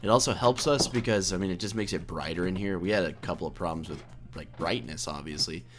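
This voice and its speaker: A man's voice: sits low, quick pace, noisy background. Man casually rambling through a game commentary. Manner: hesitant, self-correcting thinking aloud. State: slightly hoarse voice. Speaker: video game let's play host.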